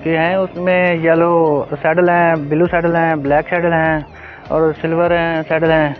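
A man's voice: fairly high pitch, flat delivery, measured pace. A middle-aged man with a Pakistani accent, a farmer informally showing his stock with repeated stock phrases. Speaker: pigeon farmer. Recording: phone-like thin audio, faint music. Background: faint music, cooing pigeons.

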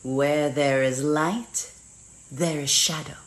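A woman voicing a sinister, seductive female video game villain, slow and menacing. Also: low-pitched, steady tone, voice pushed down. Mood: sinister, sultry. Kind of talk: video game character lines.

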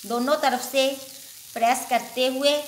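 Woman cooking show host, high bright voice, walks you thru a recipe step by step at home, echoey kitchen w sizzling pan behind. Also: steady tone, measured pace, a little hiss or hum. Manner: homely step-by-step explaining with filler "ji".

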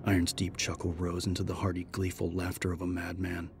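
A man narrating a scripted horror story as an audiobook, measured and serious. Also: deep voice, completely flat delivery, vocal fry, over music.

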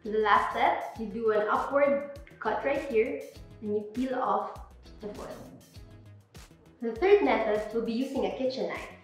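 A young woman giving clear step-by-step instructions on wine bottles, in an instructional demo recorded indoors. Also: fairly high pitch, measured pace, long pauses, soft background music. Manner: clear, plain step-by-step explaining. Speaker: wine presenter. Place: indoor room with a table. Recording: very echoey room.

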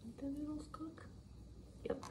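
A woman's voice: high voice, a little slow, background noise. A woman hosting a home cooking video, chatting casually as she works at her kitchen counter. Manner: chatty, relaxed, talks while working with hands. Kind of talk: casual cook-along instruction. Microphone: overhead camera framing the counter. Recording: kitchen clatter of dishes and pans. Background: clinking dishes and pans.

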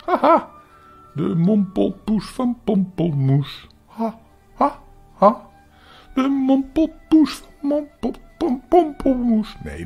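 A man's voice: medium pitch, vocal fry, darkish tone, breathy edge, voice pushed up, exaggerated voice. A man voicing a silly, giggling male dog in a children's story, laughing through his words.

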